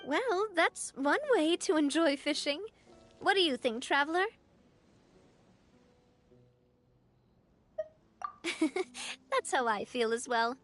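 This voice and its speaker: An actress playing a gracious, warm young noblewoman in a video game, speaking gently and softly with light laughs. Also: sits high, animated, unhurried, soft background music. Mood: cheerful. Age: young adult. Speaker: leader of a family organization.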